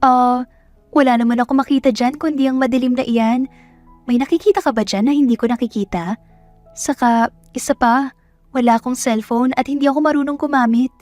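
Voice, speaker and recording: A woman's voice: sits high, steady tone, brisk, darkish tone, dry recording, soft background music, natural voice. A woman voicing a naive, earnest young country woman, polite and plain-spoken, in a romance audio drama. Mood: innocent.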